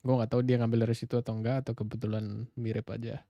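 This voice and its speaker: A man's voice: mid-range voice, level tone, rapid-fire, highs cut off. A man with an Indonesian accent giving casual live-stream commentary on a game, echoing bits of its dialogue.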